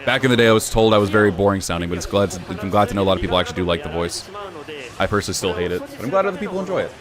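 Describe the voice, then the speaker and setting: A young man streaming, chatting casually in an even, low-key way with sudden bursts of excitement. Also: racing, a crowd in the background. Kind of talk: casual live-stream reaction chat.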